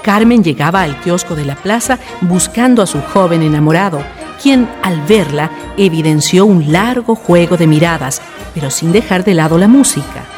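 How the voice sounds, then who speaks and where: A woman narrates a radio drama as a scripted storyteller. Her voice sits slightly low and moves with lively intonation, with music clearly underneath. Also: normal speed. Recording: music behind the voice.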